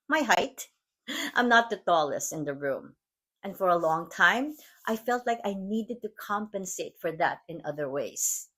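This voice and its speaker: Husky Filipino-accented woman host. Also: lowish voice, a bit bright. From Philippines. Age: adult mom. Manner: earnest, measured, reflective delivery. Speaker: podcast host. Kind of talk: scripted motivational monologue.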